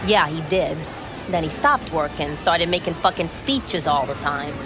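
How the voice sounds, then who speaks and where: A woman's voice: low voice, unhurried, over music, crowd noise. A woman playing a brash, cynical market boss in her forties in a video game, sardonic, slangy and profane. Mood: scornful. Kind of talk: video game dialogue acting.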